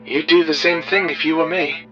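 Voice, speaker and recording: Guy acting caring busy coworker calling in, muffled radio filter, lightish pitch, sci-fi drama. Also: fairly even intonation, normal speed, fairly bright tone. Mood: polite, concerned. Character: caring, overworked colleague calling an ex pirate friend. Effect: radio call filter. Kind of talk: sci-fi audio roleplay, phone call. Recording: dull filtered radio call, music behind.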